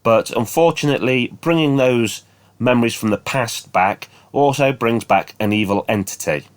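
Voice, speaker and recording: A man's voice: medium pitch, normal speed, no room sound. A man presenting an enthusiastic fan update as a video host, speaking in an earnest way.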